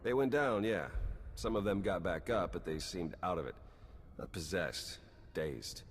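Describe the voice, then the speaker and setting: An actor playing a wry, battle-worn spy in a video game, talking casually in clipped sentences. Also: male voice, low voice, measured pace. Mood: wry, weary. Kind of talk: dramatic video game dialogue. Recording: long reverb, background hum and ambience.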